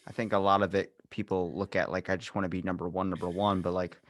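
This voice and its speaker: A man's voice: low-pitched, level tone, quick pace. A man hosting a casual podcast chat, blunt and sweary, hopping from one tangent to another. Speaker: podcast host.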